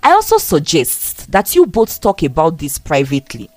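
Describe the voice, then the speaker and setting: A woman storyteller voicing a calm middle-aged woman mentor, measured and persuasive. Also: sits low, no room sound. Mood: calm, urging.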